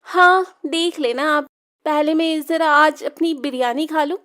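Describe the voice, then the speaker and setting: Woman as a high-voiced, pouty young cartoon daughter. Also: steady tone, a little slow, a bit bright. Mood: pouty, stubborn. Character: spirited, pouty young daughter, pleading and stubborn. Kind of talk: children's cartoon story acting.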